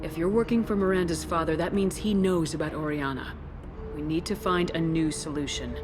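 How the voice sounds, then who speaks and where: An actress plays a woman military commander in a video game. Her voice is on the low side, steady and direct as she probes, with music clearly audible behind it. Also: lightly acted. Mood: firm. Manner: measured, direct, questioning. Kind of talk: dramatic video game acting.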